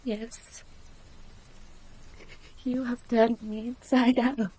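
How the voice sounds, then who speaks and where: An actress playing a broken, remorseful young woman in a radio drama, haltingly making a confession. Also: a little high, level tone, dark tone, breathy edge, exaggerated voice, rough recording. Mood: defeated, bitter. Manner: halting, repeating herself.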